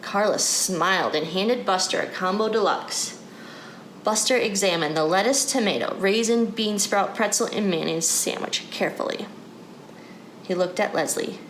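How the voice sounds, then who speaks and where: A woman's voice: medium pitch, reverberant, rough recording. A woman reading a children's storybook aloud as a narrator. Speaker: story reader. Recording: clear background noise.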